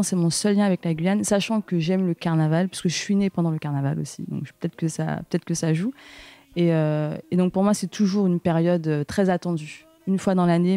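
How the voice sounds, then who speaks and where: Low mellow-voiced woman, relaxed interview. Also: no echo. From French Guiana. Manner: conversational, thinks aloud, pauses to restart sentences. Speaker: backing singer interviewed. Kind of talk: documentary interview.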